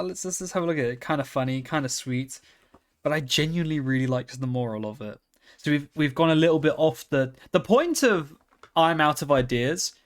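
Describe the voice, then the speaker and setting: A man hosting his own video, chatting casually in a rambling, jokey and offhand way. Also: mid-range voice. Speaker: video host. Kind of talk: casual chat to camera.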